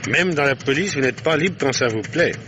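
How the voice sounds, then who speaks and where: A man's voice: on the high side, lively intonation, a little fast, natural voice. An actor playing a sly, evasive fisherman in a 1950s radio drama, speaking in a folksy, hesitant way. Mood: friendly. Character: older male oyster fisherman, sly and evasive. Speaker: fisherman Lestona. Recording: old radio recording with hiss.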